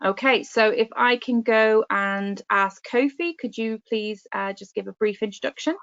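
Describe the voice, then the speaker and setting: A woman's voice: a little high, normal speed, gravelly, a bit bright, clear, resonant tone. A woman, a career consultant, moderating an online workshop in an upbeat, friendly way with many fillers. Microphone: video call. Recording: dull lo-fi video call audio.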